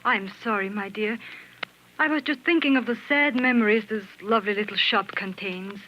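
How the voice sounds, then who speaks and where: An actress playing a wistful dressmaker in a measured, sorrowful, theatrical 1940s radio drama style. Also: female voice, mid-range voice, natural voice. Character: wistful dressmaker Marie, telling a tragic story. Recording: noisy, dull old 1940s radio recording.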